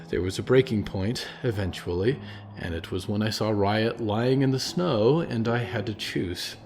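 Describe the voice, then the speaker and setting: A man's voice: on the low side, darkish tone, a little hiss or hum. A man voicing a thoughtful woman looking back on her past choices. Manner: earnest, thoughtful. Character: woman, reflective, remorseful former zealot.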